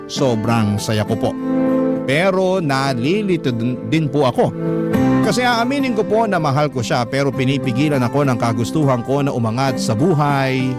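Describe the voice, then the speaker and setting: A man's voice: mid-range voice, animated, moderate pace. Filipino man narrating over prominent guitar music. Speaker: radio drama narrator reading a listener's letter. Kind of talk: first-person dramatic scripted narration. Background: music bed with guitar.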